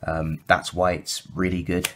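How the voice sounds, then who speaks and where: A man with a slightly croaky voice from a sore throat, casually walking through a card game deck with lots of fillers and self-corrections. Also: deep voice, little pitch movement, measured pace, slight background noise. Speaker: YouTube deck profile host. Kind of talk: casual explanatory walkthrough.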